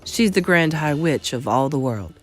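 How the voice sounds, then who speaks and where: A woman voicing a calm, wry old Norwegian grandmother in a storybook, matter-of-fact and a little mischievous. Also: sits low, moderate pace, faint music behind. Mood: warning.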